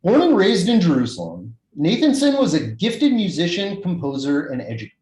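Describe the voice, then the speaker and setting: A man at an academic conference, reading a prepared research paper in a measured, formal way over a video call. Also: sits high, huge pitch swings, a little mellow. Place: video-call recording, echoey room. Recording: echoey video-call recording.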